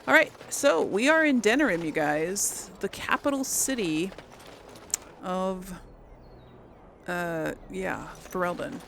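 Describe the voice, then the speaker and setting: A woman's voice: mid-range voice, lively intonation, slow, gravelly, a bit bright, slight background noise. A woman doing casual let's play commentary, informal and thinking aloud as she goes. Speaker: game streamer. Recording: faint game sounds behind voice. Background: video game ambience.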